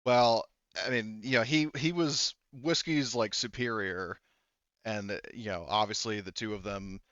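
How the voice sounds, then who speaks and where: A man narrating a tabletop game casually as game master, in a halting, rambling way. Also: on the low side, a bit bright, clear, resonant tone, no echo, highs cut off.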